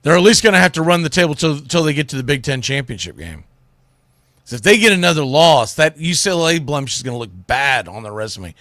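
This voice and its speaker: A man's voice: a little high, animated, quick pace, fairly bright tone, no echo, clean studio sound. A man hosting sports radio, giving opinionated college football commentary in a blunt, emphatic, colloquial way.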